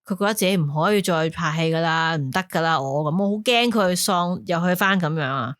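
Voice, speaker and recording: A woman with a Hong Kong Cantonese accent co-hosting a casual podcast chat, emotional and animated, swearing freely when worked up. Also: fairly low pitch, fairly quick, no room sound, clean studio sound. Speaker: podcast co-host.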